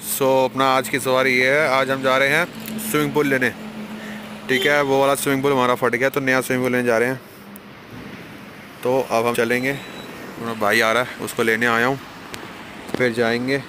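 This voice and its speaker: Young guy vlogging into his phone, chatty, lots of fillers, street noise loud while riding. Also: medium pitch, level tone, moderate pace, pauses often. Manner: chatty, repeats "theek hai" as a filler. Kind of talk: casual vlog chat. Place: small indoor room. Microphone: phone camera at arm's length.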